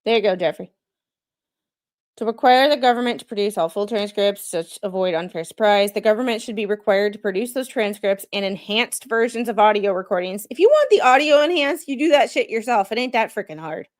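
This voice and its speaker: Casual woman livestreamer, halting and chatty. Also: medium pitch, animated. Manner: informal, chatty, self-deprecating, halting when reading aloud. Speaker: livestream host and legal commentator, non-lawyer. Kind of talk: casual live commentary while reading legal filings aloud.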